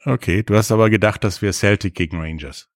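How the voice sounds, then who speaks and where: A man's voice: fairly low pitch, brisk, no room sound, studio quality. A man hosting an interview, asking casual questions with little jokes. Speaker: host.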